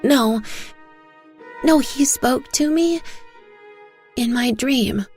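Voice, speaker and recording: A sweet little girl, played by a woman, speaks high and earnestly, with childlike simple phrasing. She belongs in an audio storybook, unhurried and sincere, with music underneath. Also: a little mellow. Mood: frightened, serious. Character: sweet, earnest little girl telling her mother nightmares.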